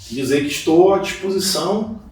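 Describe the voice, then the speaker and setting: Male pastor, earnest testimony, mid pitch; recorded off-mic in a very echoey room. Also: lively intonation, a little fast, darkish tone, distant mic. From Salvador, Bahia, Brazil. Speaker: guest pastor. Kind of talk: podcast interview, personal testimony.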